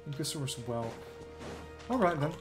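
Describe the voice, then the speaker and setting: A man's voice: fairly high pitch, very animated, normal speed, music in the background. A man streaming a video game, giving casual live commentary in short fragments, muttered to himself.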